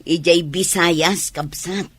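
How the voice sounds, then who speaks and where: An actor with an Ilocano accent and a husky, sharp-edged voice playing a plainspoken, fretful parent in a radio drama. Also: mid-range voice, fairly even intonation, slow, no echo, soft background music. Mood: sober. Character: plainspoken, fretful older parent of a young athlete.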